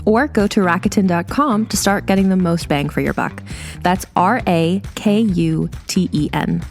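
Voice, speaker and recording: A woman's voice: fairly low pitch, normal speed, soft background music. A woman narrating a scripted advertisement. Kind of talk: scripted ad read.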